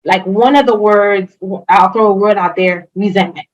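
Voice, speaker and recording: A woman's voice: medium pitch, fairly even intonation, moderate pace, rough recording. A woman whose first language is French, chatting as a guest in an interview, enthusiastic, with many fillers and restarts. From Haitian family, grew up speaking French and Creole. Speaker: guest, HR consultant. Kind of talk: casual interview chat.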